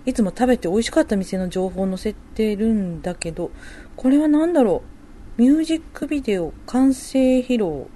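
A woman playing a working young mother and wife in a radio drama, talking softly and conversationally as she thinks aloud. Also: fairly high pitch, expressive, moderate pace. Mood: curious. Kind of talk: amateur radio drama dialogue.

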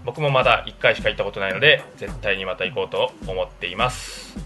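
A low-voiced man delivers a radio food segment over faint background music. He speaks politely, like a presenter working from notes, with small filled pauses between phrases. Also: sharp tone. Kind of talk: semi-scripted radio food report.

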